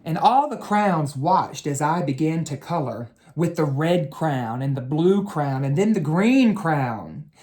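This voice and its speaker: A man speaking as an educator in a bedtime read-aloud for children, with a gentle, instructive way of talking and drawn-out key words. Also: fairly high pitch, expressive.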